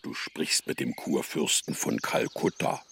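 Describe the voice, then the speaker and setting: Gravelly, sly old-school vagabond. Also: male voice, rumbling low, measured pace, a bit bright, heavily acted. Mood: sly, wheedling. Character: sly, greedy vagabond with grand pretensions. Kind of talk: children's radio play acting.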